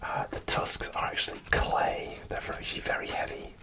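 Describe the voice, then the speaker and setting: A man whispering an ASMR show-and-tell in a soft, unvoiced whisper, hesitant and full of small fillers, partly from inside a costume helmet. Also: normal speed. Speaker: ASMR creator.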